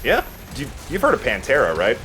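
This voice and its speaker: A man's voice: medium pitch, huge pitch swings, brisk, bright, forceful tone, soft background music, rough recording. A man giving casual commentary as he plays a video game and hosts for friends. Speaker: let's play host and player.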